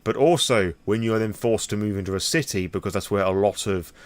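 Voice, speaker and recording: A British man speaks in a fairly low voice as the host of an impromptu vlog. He explains things casually and at length, with a conversational, frank manner and a loose, wordy way of building his sentences. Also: fairly quick. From Britain. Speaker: host of a lore channel.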